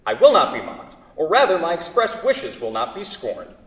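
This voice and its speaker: A man's voice: on the high side, wildly swooping pitch, moderate pace. In a reverberant hall, away from the mic, a man acts an anguished craftsman devoted to what he has built. He is wordy and fretful and keeps amending his own phrases, in the style of a staged theatre reading. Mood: indignant, firm. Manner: wordy, self-correcting, fretful. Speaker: actor in a staged play reading. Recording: echoey hall, far from the mic.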